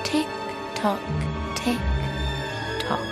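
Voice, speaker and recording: An actress playing a menacing, unhinged young villainess in a video game, mocking in a playful sing-song way. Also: female voice, drawn-out words. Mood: taunting, menacing. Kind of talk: dramatic video game acting. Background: loud background music.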